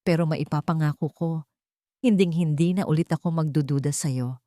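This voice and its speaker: A woman's voice: sits low, normal speed, mellow, no room sound, studio quality, close to an everyday voice. A woman voicing a remorseful, wealthy male CEO who pleads humbly and earnestly in a romantic audio drama. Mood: earnest vowing.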